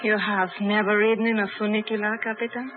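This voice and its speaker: An actress plays a poised young doctor's assistant in an old radio drama, soft-spoken and teasing at a middling pitch. The recording is thin and tinny, squeezed like an old phone line. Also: female voice, level tone, fast talker, noisy background, lightly acted. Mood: coy. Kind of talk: 1950s radio drama acting.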